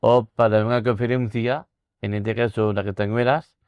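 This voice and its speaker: Smooth-voiced man speaking carefully. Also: lowish voice, level tone, dry recording, muffled recording. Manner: careful, deliberate articulation. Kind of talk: casual interview talk.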